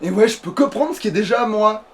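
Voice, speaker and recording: A man with a distinctly high-pitched voice plays a video game and comments as he goes. He talks casually, often hesitating, muttering to himself and dropping swear words. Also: lively intonation, fast talker. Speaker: let's play gamer.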